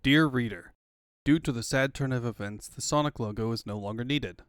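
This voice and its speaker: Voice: medium pitch, fairly quick, no echo, clean studio sound. A narrator reading scripted comic-book captions.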